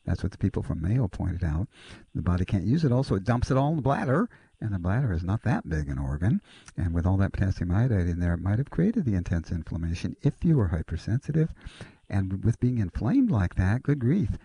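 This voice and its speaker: A man's voice: sits low, very animated, fairly quick, creaky, warm tone, slightly rough. A man giving natural-medicine advice to a caller on a call-in show, explaining earnestly and politely.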